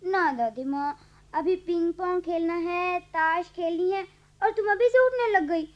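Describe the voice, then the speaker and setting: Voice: very high voice, a little slow. A performer playing a bossy, playful little granddaughter in a radio play, pleading and cheeky. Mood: insistent. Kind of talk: Hindi radio play acting. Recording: dull lo-fi archival radio recording.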